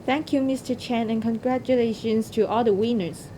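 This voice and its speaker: A woman presents results in a scripted, formal announcing style. Her voice is fairly high, and the recording has clear rumbling noise underneath. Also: fairly even intonation, moderate pace, mellow. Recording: noisy recording with low rumble.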